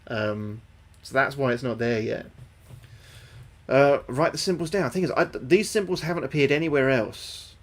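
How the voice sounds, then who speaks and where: A man's voice: medium pitch, moderate pace. A young man livestreaming a game, thinking aloud to his chat, sweary and repeating himself. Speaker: livestreaming gamer. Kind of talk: casual gameplay commentary to chat.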